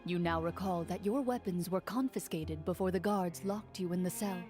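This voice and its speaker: A woman voicing a poised game master who narrates a courtroom scene in a measured, formal, dryly stern way, in a video game. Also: on the low side, natural voice. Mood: narrating. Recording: game audio with clear music underneath.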